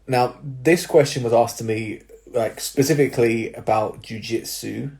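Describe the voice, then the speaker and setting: A young man, a personal trainer, giving casual advice to viewers with a slightly nasal, stuffy-nosed voice, explaining things step by step with filler words and quick "right?" checks. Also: medium pitch, steady tone, creaky, a little mellow. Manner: explanatory, with tag questions and fillers. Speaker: personal trainer and jiu-jitsu coach.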